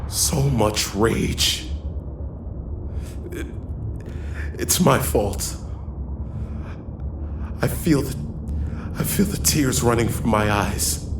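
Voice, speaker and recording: An actor playing a grieving police sergeant and father, narrating in halting, stricken first person. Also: fairly low pitch, noisy background. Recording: roomy, with music.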